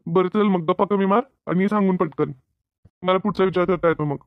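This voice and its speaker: A man's voice: high-pitched, brisk, clear, resonant tone, no echo. A man playing a bossy, practical farmer husband in a comedy cartoon, insistent and colloquial, with a rural Maharashtrian accent. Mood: impatient. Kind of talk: animated comedy cartoon dialogue. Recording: narrow phone-like band, dry.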